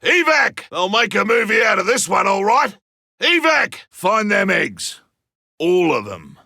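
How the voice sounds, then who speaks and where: An actor playing a gruff, cocky, battle-hardened monster hunter in a video game, with a raspy, gravelly voice, barking urgent combat callouts. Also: male voice, high-pitched, big pitch swings, a little slow, pushed and bright, no echo, clean studio sound, heavily acted. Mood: rough, relieved, then brisk, rallying. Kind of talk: video game character voice lines.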